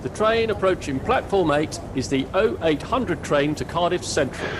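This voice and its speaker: A woman's voice: fairly low pitch, animated, normal speed, noisy background, close to an everyday voice. A woman in an audio play voicing a formal railway platform announcer.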